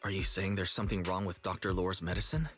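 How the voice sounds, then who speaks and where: Low-voiced, calm young investigator. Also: male voice, fast, soft background music. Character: calm, level-headed young male investigator. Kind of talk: video game story dialogue acting.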